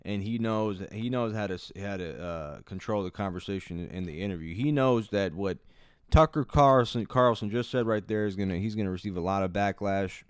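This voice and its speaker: Low-voiced man in rambling profane commentary. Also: normal speed, clear, resonant tone, no echo, studio quality. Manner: profane, rambling, many restarts. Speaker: commentary channel host. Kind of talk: casual reaction commentary.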